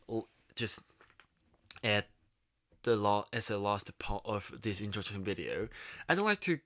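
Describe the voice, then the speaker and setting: A young man with a Korean accent talks to his viewers in a slow, lower voice, full of restarts and fillers. His voice is clear and smooth, recorded close and dry with no room sound. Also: pushed and bright, no echo. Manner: halting, many restarts and fillers, searching for words. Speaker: YouTube vlogger. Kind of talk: casual monologue.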